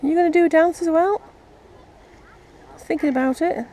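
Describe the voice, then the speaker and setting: An English woman chatting casually and gently as she narrates a nature vlog outdoors. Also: very high-pitched, brisk. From England. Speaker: vlogger. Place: outdoors in an urban park. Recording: outdoor ambient noise, birdsong. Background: birds and park ambience.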